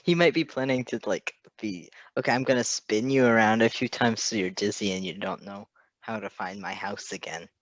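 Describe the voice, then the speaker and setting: A woman's voice: extremely low pitch, moderate pace, no echo, highs cut off. A woman chatting casually about a story in a hesitant, conversational way. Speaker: co-reader. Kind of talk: casual chat, reacting to a story.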